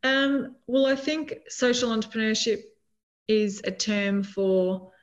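A woman's voice: a little high, takes it slowly. A middle-aged Australian woman explaining her social enterprise as an interview guest over a video call from home, earnest and full of "you know"s. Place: home room. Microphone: laptop or webcam mic on video call. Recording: slightly dull video call audio.

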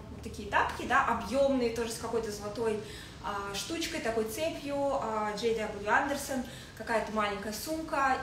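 Woman in her thirties, high voice, casual livestream teaching, searching pauses, echoey home room with faint noise. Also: fairly bright tone. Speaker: fashion stylist hosting a livestream. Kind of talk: casual livestream style lesson. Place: indoors in a home living room with a desk.

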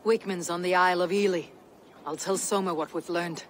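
Actress as a low-voiced, stern Viking warrior woman. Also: fairly even intonation, slight background noise, natural voice. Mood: grave, blunt. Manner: measured, blunt. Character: stern, measured female Viking raider leader.

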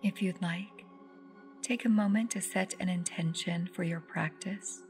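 A woman leading a guided meditation in a soft, soothing, unhurried voice that turns breathy at phrase ends. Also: lowish voice, fairly even intonation. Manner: soothing, unhurried, with long pauses. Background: meditative music with singing bowls.

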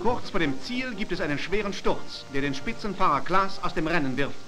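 Old 1940s newsreel guy, formal announcer, pretty high voice, music blaring behind him. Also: animated, brisk, low-quality recording. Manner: formal, declarative announcer delivery. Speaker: newsreel narrator. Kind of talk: 1940s German newsreel scripted narration. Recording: 1940s archival newsreel soundtrack. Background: loud music.

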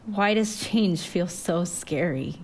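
A woman voicing a thoughtful, anxious daughter, quiet and hesitant, in a narrated story. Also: a little high, very animated, slow, slight background noise.

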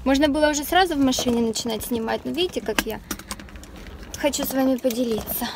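A woman's voice: high-pitched, quick pace. Chatty young woman vlogging from her car. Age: young adult. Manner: chatty, many filler sounds, light laughs. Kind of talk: casual chat to camera. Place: inside a parked car. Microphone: camera on the dashboard. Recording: faint background noise.

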